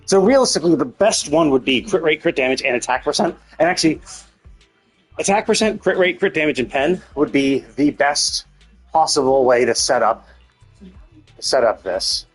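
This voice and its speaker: A man giving casual, unscripted gameplay commentary on a live stream, rambling and thinking out loud in a husky voice. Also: a little high, lively intonation, moderate pace, faint music behind, rough recording.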